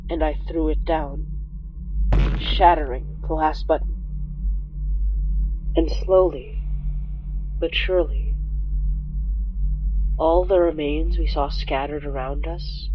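A low-voiced, wry young immigrant woman. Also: dull audio. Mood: grave, relieved. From Philippines. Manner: conversational, hesitant, wry asides. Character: young Filipino immigrant woman, wry, haunted monster-fighter recounting horrors. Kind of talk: audio drama first-person confessional narration.

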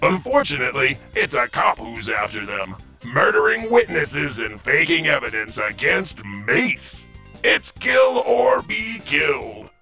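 A man's voice: medium pitch, very animated, a little slow, gravelly, pushed and bright, faint music behind. A man hosting a zany scripted quiz show in a theatrical, exclamatory way with sing-song catchphrases. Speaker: game show host.

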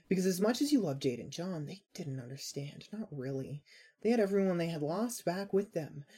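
A woman reading scripted fiction narration in a measured, dramatic storytelling manner. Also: low voice, dark tone, slightly rough.

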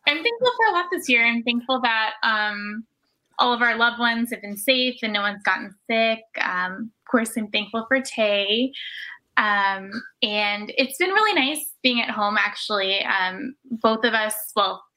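A woman guest on a casual podcast chat, speaking thoughtfully with frequent pauses. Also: fairly high pitch, animated, sharp tone.

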